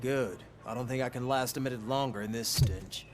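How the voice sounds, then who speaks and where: A man's voice: mid-range voice, fairly quick, a little hiss or hum, lightly acted. A man playing a casual, blunt guard in a video game, speaking informally. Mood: gruff, relenting. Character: gruff, casual guard at a hospital door.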